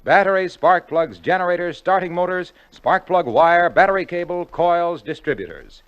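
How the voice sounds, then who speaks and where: A man's voice: high voice, moderate pace, a bit bright, clear, resonant tone, lo-fi sound. An American man announcing on 1940s radio with a polished, emphatic delivery. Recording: band-limited vintage 1940s radio broadcast.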